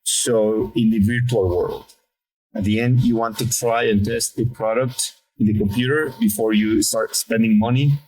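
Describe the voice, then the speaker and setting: A man's voice: on the low side, fairly even intonation, normal speed, a little mellow, rough recording. A middle-aged man from Mexico City speaking English with a Mexican Spanish accent, answering interview questions hesitantly and in a friendly way. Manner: hesitant, many fillers and restarts, friendly. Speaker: guest, sales lead.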